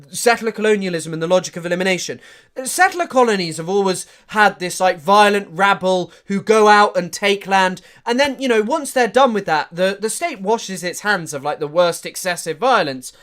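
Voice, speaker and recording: A man giving chatty live-stream commentary, animated and full of fillers and restarts. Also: high voice.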